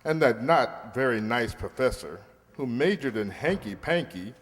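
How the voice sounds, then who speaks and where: A man's voice: mid-range voice, animated, moderate pace. A man announcing scripted closing credits in the style of an old-time radio show. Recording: reverberant hall, clear noise.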